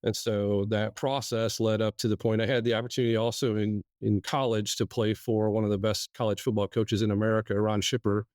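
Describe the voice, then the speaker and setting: A man from Iowa who works as a city manager, telling his life story in a steady, reflective way with frequent pauses and fillers. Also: on the low side, no echo, clean studio sound. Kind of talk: casual interview.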